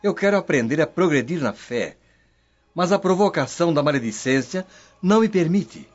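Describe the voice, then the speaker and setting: A tormented believer who pleads with a swinging, lively intonation at a middle pitch. The audio is dull, with music faint underneath. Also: male voice, moderate pace. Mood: pleading. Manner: anguished pleading.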